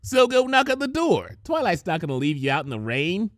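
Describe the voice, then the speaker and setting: A man's voice: high voice, wildly swooping pitch, brisk, no echo, clean studio sound, voice pushed up. A man voicing a brash, bossy young female cartoon pegasus who scolds and mocks in a story reading. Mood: mocking. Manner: scolding, mocking. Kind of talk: expressive fan fiction character reading.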